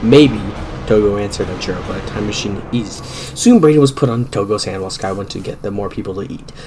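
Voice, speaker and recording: A man's voice: fairly low pitch, fast. A man giving a dramatic story reading, amused and stumbling over the text. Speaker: reader of fan fiction. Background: music.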